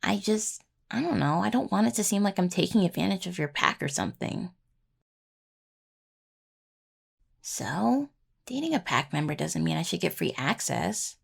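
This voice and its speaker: Woman acting a creaky-voiced young necromancer student. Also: on the low side, big pitch swings, normal speed, exaggerated voice. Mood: bashful. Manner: hesitant, rambling, sweary. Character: young necromancer healing student, anxious, sweet, affectionate partner. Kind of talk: intimate roleplay audio acting, casual.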